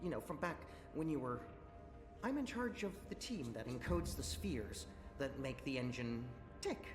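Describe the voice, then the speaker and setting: A man acting a flustered young male engineer, stammering, lively pitch, echoey, music behind. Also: normal speed, lightly acted. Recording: roomy game audio with music.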